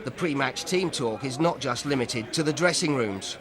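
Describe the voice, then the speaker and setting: A man narrating a documentary in a measured, even scripted delivery. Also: mid-range voice, crowd noise.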